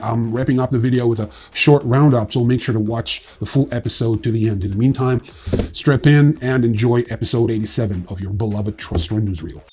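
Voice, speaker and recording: A man hosting a casual news roundup in an offhand, wry way, with a husky voice and frequent hesitations. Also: medium pitch, a little mellow. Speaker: newsreel host. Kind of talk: casual crypto news commentary, partly read.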